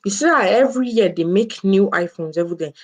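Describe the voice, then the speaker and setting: A woman's voice: mid-range voice, expressive, fast talker. Female co-host explaining with repeated phrases. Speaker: co-host presenting a book review. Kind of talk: informal explanatory talk.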